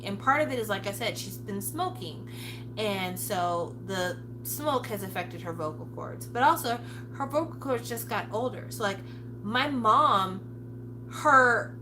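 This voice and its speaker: A woman's voice: mid-range voice, background noise. A woman in her forties chatting frankly to her viewers, casual and candid, now and then cursing. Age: 40s. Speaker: vlogger. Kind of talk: casual chat to camera.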